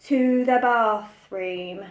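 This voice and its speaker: A woman's voice: fairly high pitch, extremely slow, dull audio. Woman reading as a weary storybook mum, echoey room. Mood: firm. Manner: firm, sighing, put-upon. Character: weary, exasperated mother wanting peace from her children. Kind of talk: children's storybook character voice.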